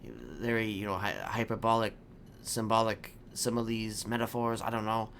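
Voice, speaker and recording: A man playing a theatrical, hopeful prisoner in improvised game roleplay, rambling haltingly and repeating himself with sudden emphasis. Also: fairly low pitch, vocal fry, fairly bright tone. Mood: rambling, earnest. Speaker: streamer playing a roleplay character. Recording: faint background noise.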